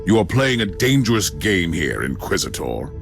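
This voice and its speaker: An actor playing a stern, suspicious, commanding inquisitor in a sci-fi video game, speaking formally and curtly. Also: male voice, low voice, very animated, normal speed, gravelly, faint music behind, exaggerated voice. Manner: formal, curt, demanding. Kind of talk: dramatic video game dialogue.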